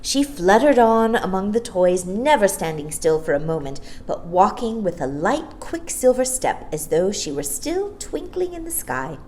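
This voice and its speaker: Animated woman reading a children's story. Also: medium pitch, unhurried, hardly any pauses, slight background noise. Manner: animated, theatrical. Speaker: storytime reader. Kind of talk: expressive children's story narration.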